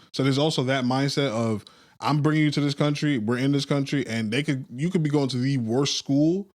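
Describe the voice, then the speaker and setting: A young man on a casual podcast, telling stories in a joking, laughing way. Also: medium pitch. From Queens, New York. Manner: joking storyteller, laughs often. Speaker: podcast co-host. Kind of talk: casual chat.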